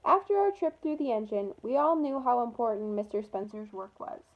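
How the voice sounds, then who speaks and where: A woman reading a picture book aloud to children in an expressive way, pausing to point out the pictures. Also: fairly high pitch, muffled recording. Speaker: storytime reader for children.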